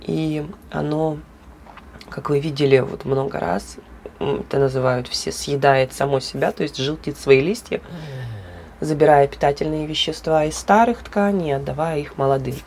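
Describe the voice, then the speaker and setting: A woman with a hoarse voice, chatting casually and animatedly in a hobby plant vlog, with laughs and fillers. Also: low voice, steady tone, normal speed, darkish tone, a little hiss or hum. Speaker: orchid grower.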